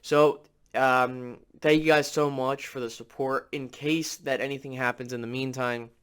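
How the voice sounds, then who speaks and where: A man with a mid-pitched voice, a little raspy and stuffed up from a cold. He talks casually to his viewers as a sports channel host, loosely and hesitantly, working out his thoughts as he goes. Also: fairly even intonation, unhurried, a bit bright. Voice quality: slightly raspy, nasal from a cold. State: under the weather, congested.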